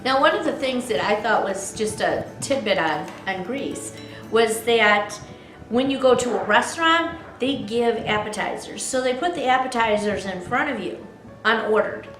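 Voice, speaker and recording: A woman's voice: a little high, animated, normal speed, fairly bright tone, echoey room, soft background music. A woman co-hosting a casual advice show, explaining travel preparation step by step and quizzing her co-host. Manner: explanatory, asks co-host quiz questions.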